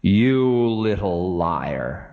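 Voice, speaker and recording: A man's voice: lowish voice, very animated, very slow, phone-quality sound, exaggerated voice. A man voicing a defiant, mocking demon king with a scornful, theatrical drawl, in dramatic mythic storytelling. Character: Ravana, defiant, mocking twenty-armed male demon king. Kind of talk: dramatic oral storytelling of myth.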